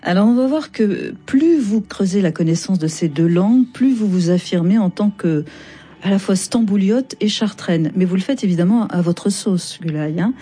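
A woman's voice: mid-range voice, animated, normal speed, warm tone, slightly rough. A woman hosting a conversational literary interview, engaged and probing as she puts questions to her guest. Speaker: interviewer, book programme host.

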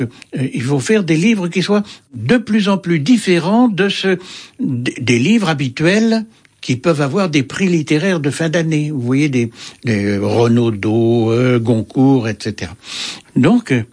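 A man's voice: fairly high pitch, darkish tone, husky. An older man, an interviewed writer, answering in a musing, hesitant way with many fillers and restarts.

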